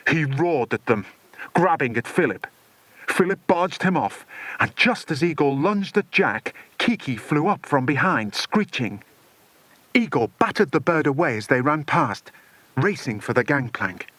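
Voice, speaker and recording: Male storyteller, mid-pitched, very animated delivery, dramatic children's adventure narration. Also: no echo. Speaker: audiobook narrator.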